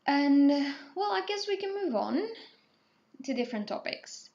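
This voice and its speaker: A young woman in her twenties talks slowly and casually, her English clearly a second language. She wanders from point to point, correcting herself and filling pauses with ums. The recording sounds a little dull and lo-fi. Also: sits high, big pitch swings, darkish tone. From Portugal, raised in Spain. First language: Spanish or Portuguese. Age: 20s. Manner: rambling, self-correcting, hesitant with ums. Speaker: artist, channel host. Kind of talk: casual talkthrough over painting.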